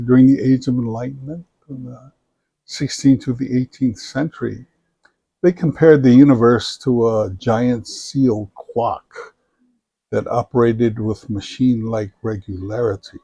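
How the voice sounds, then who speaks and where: Older guy, slow thoughtful answers, warm low-key tone, dry sound. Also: steady tone, gravelly, slightly rough, dry recording, dull audio. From Samoa. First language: Samoan. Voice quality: measured, thoughtful delivery with frequent fillers. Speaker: interviewee, retired professor. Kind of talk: reflective interview answers.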